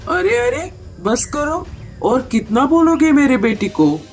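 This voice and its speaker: A woman's voice: high-pitched, big pitch swings, slow pace, darkish tone, faint music behind, exaggerated voice. A woman voicing an indulgent mother bird queen in a cartoon story, coaxing and protective. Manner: coaxing, protective of her child. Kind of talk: Hindi cartoon story acting.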